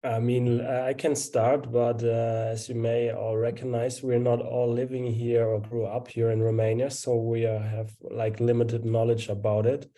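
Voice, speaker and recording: A man, a student presenter, answering a seminar question on a video call, halting and full of fillers. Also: medium pitch, flat delivery, warm tone.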